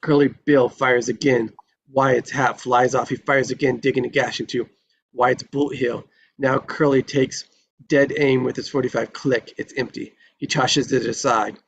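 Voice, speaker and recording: Gravelly low-key man narrating a Western script. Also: steady tone, moderate pace, lo-fi sound, a little hiss or hum. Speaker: stage-direction reader at a table read. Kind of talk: dramatic screenplay narration.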